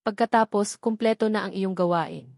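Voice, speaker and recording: A woman voicing a stern, measured male martial-arts master in a storybook reading. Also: mid-range voice, vocal fry, a little mellow, dry recording, studio quality, natural voice. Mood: coldly commanding.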